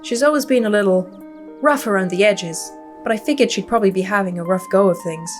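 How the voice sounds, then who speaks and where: A woman's voice: medium pitch, brisk, soft background music, natural voice. Woman acting a chatty small-town young woman. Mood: concerned.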